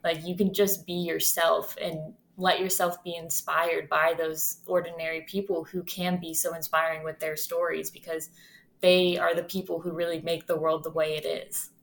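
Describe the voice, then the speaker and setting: Low-voiced woman podcast host. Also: flat delivery, moderate pace, creaky. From Ohio, USA. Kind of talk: casual interview.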